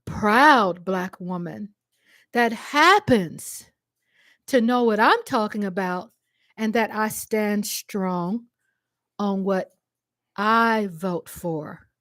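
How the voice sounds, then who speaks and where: A woman's voice: medium pitch, drawn-out words, long pauses, fairly bright tone, no room sound. A woman with a Texan accent speaking straight to her viewers, passionate and emphatic, and she repeats phrases for stress. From Texas. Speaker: vlogger answering a commenter. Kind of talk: impassioned direct-to-camera monologue.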